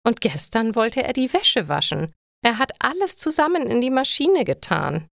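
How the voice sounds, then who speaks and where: A woman's voice: on the high side, very animated, normal speed, creaky, no room sound, studio quality. A woman voicing an exasperated older housewife in a story, complaining in a dramatic, emphatic way. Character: exasperated older housewife with a newly retired husband.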